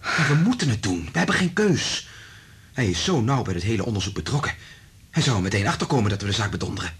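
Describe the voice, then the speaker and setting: Husky, intense male scientist. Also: on the low side, sing-song, fast talker, full character voice. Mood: grave, resolved. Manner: earnest, emphatic, dramatic. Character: anxious, principled male research scientist, Dr Frank. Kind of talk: Dutch radio drama acting.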